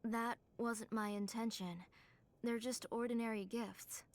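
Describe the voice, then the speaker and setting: Gentle, higher-voiced devoted mother. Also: fairly even intonation, a little slow, a little hiss or hum. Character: gentle, devoted, ailing mother and name engraver. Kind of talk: video game voice acting.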